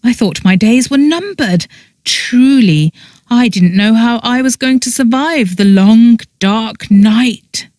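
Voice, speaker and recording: A wry, self-deprecating heroine acted by a woman narrator in an audiobook. She exclaims emotively and is quick to exasperation, lifted in pitch, with a dry, close sound. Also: darkish tone, no echo. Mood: wry joking.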